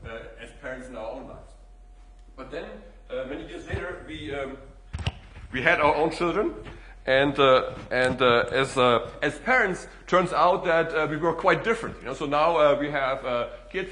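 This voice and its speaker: A middle-aged man from Germany with a German accent, giving a relaxed public lecture as a guest speaker and filling pauses with "uh" and "you know". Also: mid-range voice, roomy sound. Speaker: guest speaker, economics professor.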